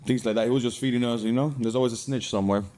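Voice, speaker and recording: A man's voice: mid-range voice, steady tone, very fast, warm tone. A young man from Cuba telling his personal story as a podcast guest in a casual, streetwise way, with slang and swearing.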